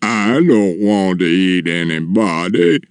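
A man voicing a huge, grimy storybook giant, menacing yet lonely, with slow, drawn-out, ponderous speech. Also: medium pitch, animated, gravelly, no echo, studio quality, voice pushed up. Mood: sad, quiet. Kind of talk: storybook character voice.

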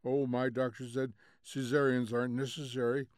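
A man's voice: mid-range voice, level tone, moderate pace, no room sound, natural voice. A man voicing a flighty, complacent housewife who prattles smugly in an audiobook. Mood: smug, chatty. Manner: gossipy, self-satisfied prattle. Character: flighty, complacent society housewife, smug and chatty. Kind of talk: audiobook character voice.

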